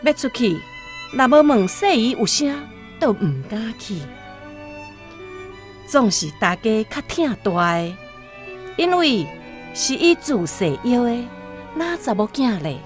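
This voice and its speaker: A woman's voice: a little high, very animated, takes it slowly, pauses often. Taiwanese lady narrating a radio story, takes her time, bit of music under it.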